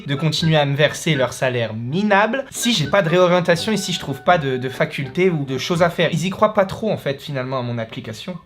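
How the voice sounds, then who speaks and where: A man's voice: a little high, animated, fast, few pauses, natural voice. A man playing a boastful, naive, spoiled twenty-year-old who rambles with lots of hesitation. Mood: smug. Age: 20s. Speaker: caller seeking career advice. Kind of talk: comedy sketch.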